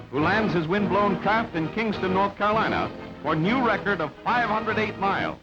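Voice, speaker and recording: High-voiced newsreel announcer man. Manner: clipped, announcing delivery. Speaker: newsreel narrator. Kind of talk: scripted newsreel narration. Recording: dull lo-fi archival sound over loud music.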